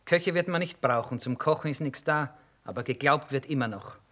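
A man's voice: medium pitch, a little fast, fairly bright tone, lightly acted. An earthy army cook played by a man in a radio drama, always hungry and grumbling. He talks in a dry, blunt, folksy way. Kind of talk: German radio play acting.

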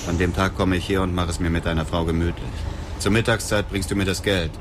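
A bitter middle-aged widower, played by a male actor, speaks in an extremely low voice. He is brooding, controlled and quietly menacing, as in a radio crime drama. There is clear noise behind him. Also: flat delivery, quick pace, close to an everyday voice. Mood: cold, commanding. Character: cold, bitter widower bent on revenge, middle-aged man. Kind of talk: radio drama narration and dialogue.

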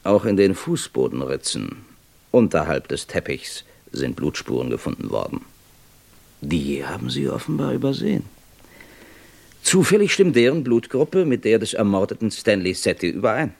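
An actor playing a persistent, stern British police inspector in a German radio crime drama, with formal, pointed questioning. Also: male voice, fairly low pitch, very animated, normal speed, creaky, exaggerated voice. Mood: pressing, confronting.

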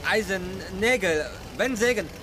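An actor playing a young forest worker, speaking halting, broken sentences in a radio drama. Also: male voice, high voice, animated. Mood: halting, insistent. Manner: halting broken sentences, missing verbs and articles.

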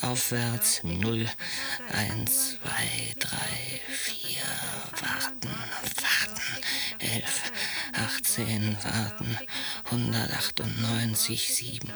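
Haunted patient, very low hushed creaky voice, halting fragments, fearful, obsessive, radio drama. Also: female voice, very animated, a crowd in the background, heavily acted. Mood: fearful, compulsive.

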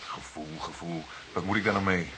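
An actor playing a blunt, sceptical junior police detective in a crime drama. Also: male voice, low voice, steady tone, normal speed, pushed and bright. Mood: sceptical, impatient. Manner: blunt, doubting. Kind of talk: naturalistic crime drama acting. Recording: noisy, hissy recording with clicks.

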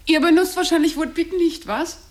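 An actress playing a cloying, pushy wife who meddles in a friend's marriage, sugary and patronizing in a stage drama. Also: female voice, very high-pitched, moderate pace. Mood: sugary, solicitous. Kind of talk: German stage-play dialogue acting.

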